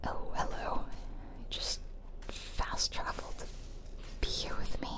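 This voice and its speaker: A woman whispering gently and chattily in ASMR style close to the microphone as she hosts a game playthrough. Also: takes it slowly. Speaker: gaming video host. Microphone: close microphone, ear to ear.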